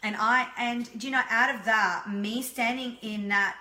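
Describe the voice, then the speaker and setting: A woman's voice: on the high side, steady tone, unhurried, pushed and bright. A middle-aged woman, a podcast guest, talking candidly and passionately, rambling and swearing freely. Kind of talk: candid conversational chat. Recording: echoey room.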